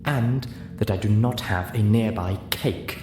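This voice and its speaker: A man's voice: fairly low pitch, a little slow, close to an everyday voice. A man voicing an elegant, refined female unicorn, controlled and politely disdainful, in an audiobook story. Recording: roomy echo, clear background hiss.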